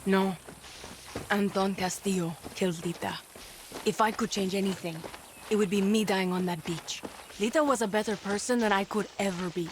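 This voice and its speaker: A woman's voice: medium pitch, steady tone, moderate pace, slight background noise, close to an everyday voice. A woman playing a young, tough, wry guerrilla fighter in a video game, speaking dryly and directly. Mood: sombre, firm. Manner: dry, streetwise, direct. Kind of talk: video game dramatic acting.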